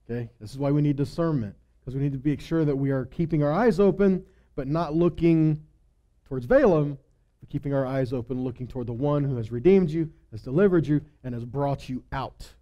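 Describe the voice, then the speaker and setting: A man teaching scripture to a congregation in an emphatic sermon, often posing rhetorical questions and dropping into quieter asides. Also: fairly high pitch, a little fast, highs cut off.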